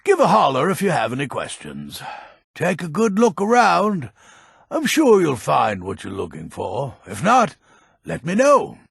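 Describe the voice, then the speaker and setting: Grumpy old man, very gravelly, raspy, gruff curmudgeon, fantasy game shopkeeper, expressive swings in pitch. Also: a little slow, dry recording, clean studio sound, exaggerated voice. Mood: welcoming. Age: older. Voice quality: gravelly, husky. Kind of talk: video game character lines.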